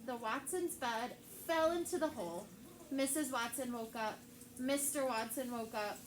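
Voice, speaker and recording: A woman teacher reads a picture book aloud to her class, with lively character voices. Her voice sits slightly high. The classroom rings with echo, and background noise runs underneath. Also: unhurried.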